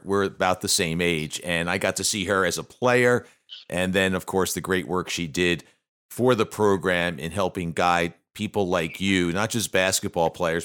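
A middle-aged man hosts an interview in a low voice, asking questions and praising his guest. He sounds easygoing and polite as he moves the talk along. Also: fairly bright tone, clean tone. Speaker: interview host. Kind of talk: friendly interview.

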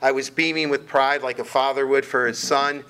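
A middle-aged man from Detroit, hosting a casual sports interview in a wordy, halting way. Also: medium pitch, steady tone, pushed and bright, rough recording. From Detroit, Michigan. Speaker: sports show host, interviewer.

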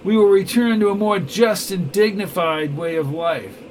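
Guy doing a smug cold villain, bossy and clipped, kinda high voice, noisy hiss behind. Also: normal speed, darkish tone, lightly acted. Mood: taunting. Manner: imperious, clipped menace. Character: cold scheming male villain leader.

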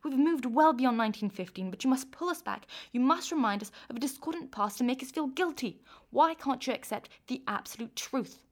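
A stern Turkish official in a radio play, acted by a woman. The voice sits a little high. The official questions and lectures, accusatory and sarcastic. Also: animated, fairly quick. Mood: stern, lecturing.

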